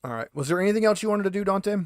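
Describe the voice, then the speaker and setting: Guy game mastering, kinda high voice, very dry sound, explains rules bit by bit, casual. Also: expressive, rapid-fire, dry recording. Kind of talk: casual tabletop game chat.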